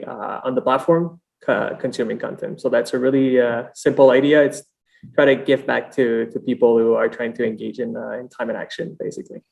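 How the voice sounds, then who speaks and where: A French-Canadian man, a native French speaker, speaks as a startup founder being interviewed. His mid-pitched voice has plenty of vocal fry, and he talks casually with halting, hesitant phrasing. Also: a little mellow. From Montreal, Canada. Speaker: podcast guest, startup founder.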